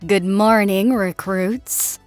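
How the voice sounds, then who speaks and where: A woman puts on a massive senior drill sergeant, proud and firmly in command. She projects formally, as if to rows of recruits, in a sci-fi audio story. Soft music sits behind her. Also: medium pitch, fairly even intonation, slow, no room sound, natural voice. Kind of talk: dramatic audiobook character reading.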